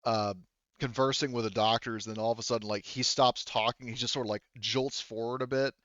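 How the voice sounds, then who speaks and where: A man with a clear, middle-pitched voice runs a tabletop roleplaying session. He improvises the narration in an informal, halting way and often corrects himself. The audio is dry and a little dull. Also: steady tone, a bit bright, dry recording. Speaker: game master.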